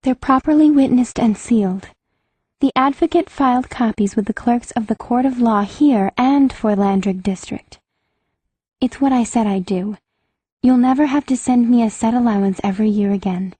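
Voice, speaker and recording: Teen noblewoman mage: formal, earnest, highish but mellow, slightly husky. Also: moderate pace, dry recording. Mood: earnest, firm. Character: earnest, proper young noblewoman mage in her teens. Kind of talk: full-cast audiobook acting.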